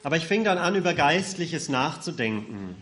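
A man's voice: on the high side, very animated, normal speed, a bit bright, slight background noise. A man interpreting a speaker's testimony phrase by phrase, matter-of-fact, with occasional hesitation sounds. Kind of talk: consecutive interpreting.

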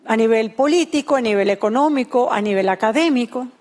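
A woman's voice: fairly high pitch, brisk, a little mellow. Earnest woman interpreting a sermon.

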